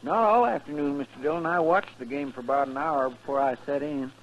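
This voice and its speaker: A man's voice: fairly high pitch, a little fast, dull audio, lightly acted. A man playing a deferential, hapless marshal's sidekick in a 1950s radio western, folksy and plaintive with a rural American drawl. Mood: sheepish, aggrieved. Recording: faintly noisy 1950s radio recording.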